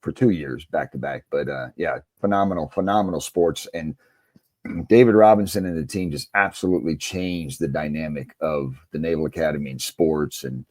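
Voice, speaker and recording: A man's voice: very deep voice, moderate pace, darkish tone, dry recording, clean studio sound. A middle-aged American man telling stories conversationally as an interview guest, in a reflective, anecdotal way. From United States. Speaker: interview guest, former basketball coach and author.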